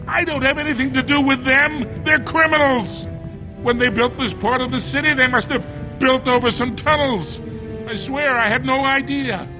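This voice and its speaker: A high-voiced dwarf shopkeeper blusters indignantly and anxiously in fantasy game dialogue. He grumbles and protests defensively over prominent background music. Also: steady tone, moderate pace, low-quality recording. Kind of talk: video game voice acting.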